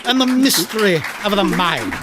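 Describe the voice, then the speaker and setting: An actor playing a theatrical stage hypnotist in an audio drama, with a grandiose, commanding manner. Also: male voice, sits high, sing-song, moderate pace, a crowd in the background. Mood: triumphant pitchman.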